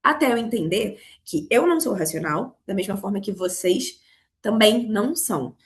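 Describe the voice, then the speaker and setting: A Brazilian woman from Rio with a Carioca accent, hoarse from a cold, teaching a live online class on money, talking straight to her students. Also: mid-range voice, lively intonation, normal speed. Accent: Carioca Brazilian Portuguese. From Rio de Janeiro, Brazil. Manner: explanatory, addresses students directly. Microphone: video call.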